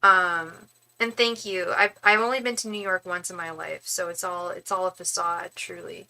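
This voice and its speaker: A woman's voice: lowish voice, steady tone, normal speed, creaky, bright, forceful tone, low-quality recording. A young woman doing a casual live-stream tarot reading, chatty and rambling as she talks straight to her viewers. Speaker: tarot reader and psychic.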